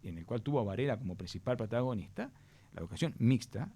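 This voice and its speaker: A man's voice: mid-range voice, animated, quick pace, dark tone, breathy edge, dry recording. A middle-aged man with a Uruguayan accent, a guest on a radio talk show, explaining education policy earnestly with frequent restarts. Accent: Uruguayan Spanish. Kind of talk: radio interview answers.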